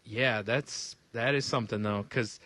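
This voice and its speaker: A man's voice: medium pitch, a little slow, pushed and bright, no room sound. A man co-hosting a comedy podcast, riffing casually with crude jokes and often talking with a laugh in his voice. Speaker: podcast co-host. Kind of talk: casual comic banter and riffing.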